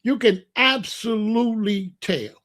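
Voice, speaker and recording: Older man, high-pitched voice, blunt, repetitive, opinionated. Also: a little slow, a bit bright. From Chicago, USA. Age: 60s. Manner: emphatic, repetitive, blunt street talk. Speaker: commentator. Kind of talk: opinionated monologue.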